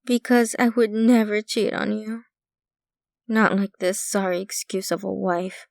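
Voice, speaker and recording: Woman as possessive ancient vampire girlfriend, ASMR roleplay, very slow delivery, sweetly menacing, intimate close mic, dry sound. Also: mid-range voice, steady tone, creaky, a little mellow, dry recording. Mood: cold disgust. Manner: unhurried, doting yet possessive, casually sinister. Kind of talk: ASMR roleplay, intimate scripted monologue to the listener.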